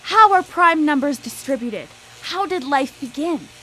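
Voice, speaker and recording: A woman's voice: high voice, expressive, unhurried, sharp tone, a little hiss or hum, heavily acted, rough recording. An actress playing an obsessive, ruthless female scientist in a theatrical, formal manner for a live radio drama. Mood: brooding, intense. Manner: grandiose, theatrical, formal speech.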